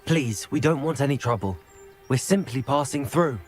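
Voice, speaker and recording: An actor playing a composed young wizard student who speaks in a polite, measured and dry way. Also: male voice, mid-range voice, animated, faint music behind, lightly acted. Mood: calm. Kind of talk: video game voice acting.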